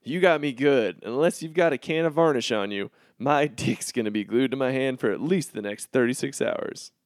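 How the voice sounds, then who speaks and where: A man's voice: mid-range voice, normal speed, no room sound, clean studio sound, lightly acted. A man playing a pampered, crude teenage rich boy in a comedic audio drama, with a swaggering, melodramatic delivery. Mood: flustered, indignant.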